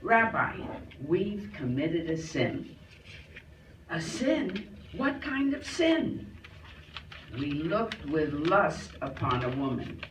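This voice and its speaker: A woman reading a humorous tale aloud at a live reader's theater, recorded from a distance in a roomy hall. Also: low-pitched. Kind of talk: joke storytelling, reading aloud. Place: clubhouse hall, live performance. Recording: roomy hall, distant mic, faint noise.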